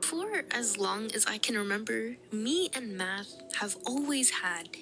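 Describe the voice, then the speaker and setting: A young woman vlogger with a fairly high voice talks straight to her viewers. She tells stories in an animated, giggly way, full of "like" and little laughs. Music plays clearly underneath her voice. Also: measured pace. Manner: animated and giggly, with many fillers. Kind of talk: casual storytelling to camera. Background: background music.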